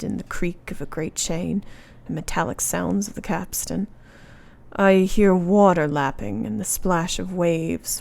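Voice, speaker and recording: An actress playing an earnest, brave young gentlewoman in a radio play, with formal period phrasing. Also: fairly low pitch, vocal fry. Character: earnest, brave young married gentlewoman under a vampire's curse.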